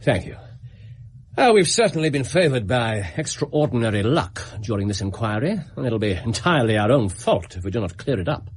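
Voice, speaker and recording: An English actor playing a shrewd, commanding Victorian detective in a radio drama, with formal, precise, probing questions. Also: male voice, mid-range voice, big pitch swings, a little fast, slightly rough. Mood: brisk, investigative. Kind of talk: British radio drama acting.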